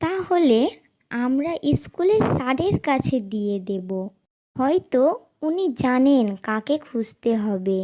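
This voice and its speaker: A very high, slow-paced little girl played by a woman speaks in a children's moral cartoon. She is gentle, devout and honest, placing each phrase with polite, earnest care. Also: animated. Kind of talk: Islamic moral cartoon voice acting.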